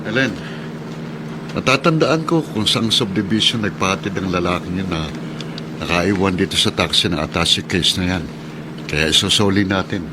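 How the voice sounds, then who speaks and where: A man's voice: low-pitched, moderate pace, close to an everyday voice. A man playing an honest, reassuring taxi driver and father figure in a natural radio-drama style. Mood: calm, reassuring. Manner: reassuring, calm. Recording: clear vehicle noise behind voice. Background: vehicle ambience.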